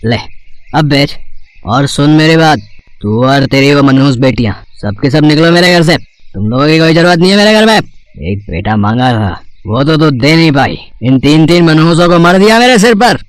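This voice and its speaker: A man's voice: a little high, animated, normal speed, close to an everyday voice. Man voicing a drunken brute of a husband. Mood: enraged. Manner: rough, scolding, bullying. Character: drunken abusive husband, harsh and contemptuous. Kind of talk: animated story voice acting.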